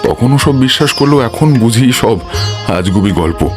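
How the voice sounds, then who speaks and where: Man acting thirties husband, mid-pitched, bitter, weary, doubting, horror cartoon, clear music bed. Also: expressive, moderate pace, close to an everyday voice. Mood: dismissive. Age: 30s. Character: bitter, sceptical village husband in his thirties. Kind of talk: Bengali horror cartoon dialogue.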